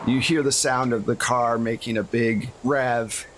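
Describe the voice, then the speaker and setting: A man's voice: medium pitch, fairly even intonation, unhurried, very noisy. A man running a tabletop game, narrating casually as game master with a jokey streak.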